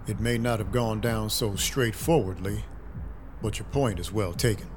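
A man's voice: fairly low pitch, normal speed, noisy background, close to an everyday voice. A man playing a dry, brooding, world-weary vampire detective with terse noir delivery in an audio drama. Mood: wry, businesslike. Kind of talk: first-person noir narration, audio drama acting. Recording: loud music behind the voice.

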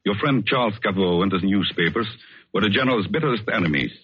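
Deep-voiced, tender lovelorn painter. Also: male voice, animated, quick pace, slightly rough, no room sound. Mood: grave, pointed. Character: tender, wistful male painter in love, refugee from France. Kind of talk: 1940s radio drama acting. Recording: dull old radio recording, music behind.

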